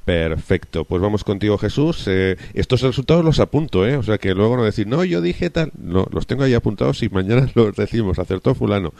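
A man hosting a casual radio sports panel, chatty and relaxed, steering the talk with friendly questions. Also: lowish voice, brisk, vocal fry, hardly any pauses, dry recording. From Canary Islands. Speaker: radio talk show host.

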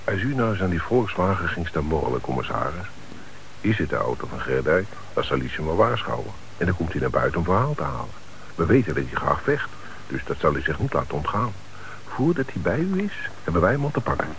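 An actor playing a practical, matter-of-fact police detective in a radio play, on a hissy old recording. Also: male voice, deep voice, very animated, brisk, fairly bright tone, full character voice, rough recording. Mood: practical, scheming.

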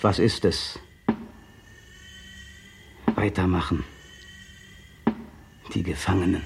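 Bitter cold husband, low voice, lively swings, slow husky delivery, radio play. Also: male voice, full character voice. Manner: precise, brooding inner monologue with sudden flares. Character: bitter, cold adult man resenting his partner. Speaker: male protagonist narrating inner monologue. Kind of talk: 1960s radio play acting.

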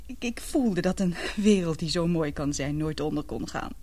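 A young woman playing an anguished daughter in love in a radio drama, confiding in halting, earnest, emotional speech. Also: deep voice, lively intonation, dark tone. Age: young adult.

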